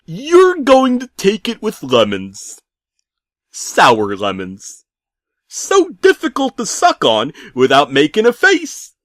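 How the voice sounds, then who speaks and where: Casting: male narrator as a deranged cheery pizza man, high-pitched, drawling and barking, horror-parody storytelling. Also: wildly swooping pitch, a bit bright, no echo, clean studio sound, heavily acted. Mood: mocking, aggressive. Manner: drawn-out, over-exaggerated, barking delivery. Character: cheery, menacing, drawling orange-haired pizza delivery man.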